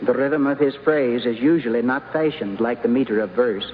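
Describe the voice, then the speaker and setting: A man's voice: medium pitch, normal speed. A man interpreting a lecture formally, sentence by sentence. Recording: tinny, noisy old hall recording, reverberant.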